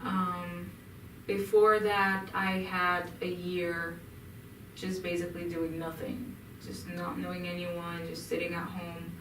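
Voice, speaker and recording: A woman's voice: on the low side, monotone, takes it slowly, background noise. Hesitant woman from Egypt, echoey room. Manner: hesitant, many ums and restarts. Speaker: interview guest. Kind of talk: casual interview answers. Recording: echoey room, mic at a distance.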